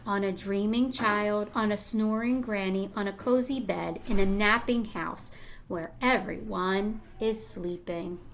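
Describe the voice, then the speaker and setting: A woman teacher reading a picture book aloud to young children, deliberate and expressive, prompting them with questions. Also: medium pitch, slight background noise.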